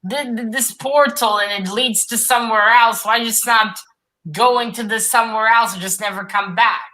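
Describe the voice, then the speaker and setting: A woman talks informally about a book as one of a panel. Her voice is bright and forceful, sitting a little high. She questions and pushes her point as she debates. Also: steady tone, moderate pace, rough recording. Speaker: discussion panelist. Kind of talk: casual book discussion.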